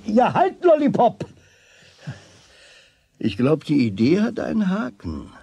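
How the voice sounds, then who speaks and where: An actor playing a worldly-wise shopkeeper in a radio play, husky, in measured, halting phrases. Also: male voice, high voice, wildly swooping pitch, mellow, dry recording, heavily acted. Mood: cautioning. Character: slow, worldly-wise male shopkeeper, drawling his advice.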